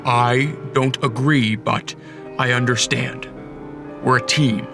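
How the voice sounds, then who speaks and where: A man playing a cautious, courteous vampire count in a radio drama, restrained and deliberate in manner. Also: medium pitch, fairly even intonation. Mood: reserved. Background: dramatic music.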